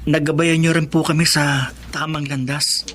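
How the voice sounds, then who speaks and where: An actor with a Filipino accent playing a polite, cheerful young blind former student in a radio drama. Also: male voice, on the high side, level tone, moderate pace, natural voice. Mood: respectful.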